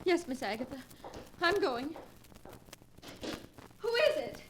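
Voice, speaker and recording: An actress playing a timid, terrified young maid, hesitant and deferential, in 1940s radio drama. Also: female voice, high voice, lively intonation, slow. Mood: fearful. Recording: noisy, clicking 1940s radio recording.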